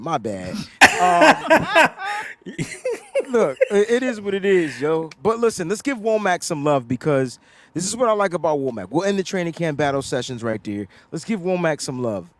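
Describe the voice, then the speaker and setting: A middle-aged man hosting a casual sports talk livestream, loud and animated, laughing often, into a desk microphone in a home studio. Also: a little high. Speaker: sports show host.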